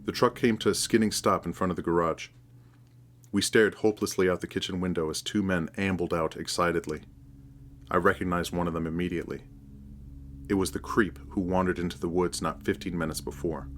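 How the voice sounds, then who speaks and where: Very deep-voiced man narrating horror. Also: fairly even intonation, brisk, fairly bright tone. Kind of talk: scripted first-person story narration.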